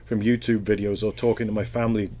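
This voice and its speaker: A man's voice: on the low side, flat delivery, fast talker, warm tone, a little hiss or hum. A Welsh man answering interview questions in a casual, thoughtful way, often rambling and restarting his sentences. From Wales.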